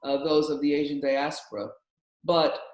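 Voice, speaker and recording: A man moderating a panel discussion, posing questions haltingly with many repeated words. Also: on the high side, flat delivery, a little mellow, slight background noise. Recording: echoey room.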